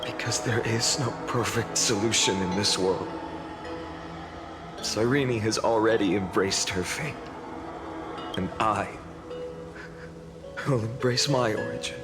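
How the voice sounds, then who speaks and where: Slow, low, solemn young warrior hero. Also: male voice. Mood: grave, resolute. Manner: measured, earnest, heavy with pauses. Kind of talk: dramatic video game voice acting. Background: music behind the voice.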